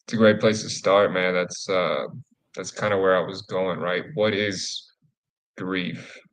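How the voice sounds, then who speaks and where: A man's voice: low voice, steady tone, unhurried, a bit bright. A man co-hosting a live men's group talk, laid-back and casual, swearing easily. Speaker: co-host.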